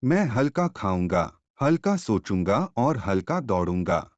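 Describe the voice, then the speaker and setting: Sly, self-righteous cartoon fox, played by a man: unhurried, explaining, close dry sound. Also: lowish voice, big pitch swings, no room sound, dull audio. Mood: smug, advising. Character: sly self-satisfied fox preaching restraint.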